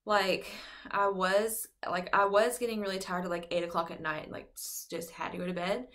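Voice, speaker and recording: A woman's voice: mid-range voice, level tone, moderate pace, a bit bright. Chatty pregnant young woman vlogger. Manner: chatty, rambling, many fillers and "like". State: heavily pregnant, short of breath. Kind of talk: casual pregnancy update vlog.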